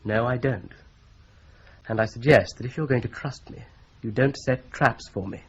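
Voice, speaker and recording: An actor playing a polite, careful young solicitor in a radio drama, precise in manner. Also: male voice, mid-range voice, normal speed, slight background noise, natural voice. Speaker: solicitor at the firm. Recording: dull lo-fi radio recording.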